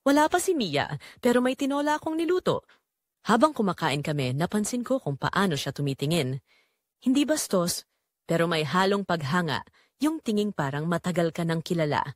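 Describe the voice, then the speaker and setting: A woman's voice: fairly low pitch, big pitch swings, normal speed, dry recording, studio quality. A woman playing a lonely middle-aged widowed mother who tells her secretly yearning story in an audio drama. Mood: quietly yearning. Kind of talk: first-person dramatic audio story narration.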